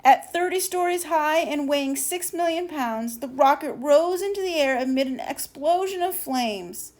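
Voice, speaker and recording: High-voiced woman reading a storybook aloud. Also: expressive, normal speed, a little mellow. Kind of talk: expressive children's storybook read-aloud.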